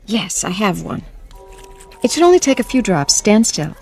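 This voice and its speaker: An actress playing a prim, eager-to-help single lady in a vintage radio drama, polite and gently insistent. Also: medium pitch, big pitch swings, moderate pace, creaky, soft background music.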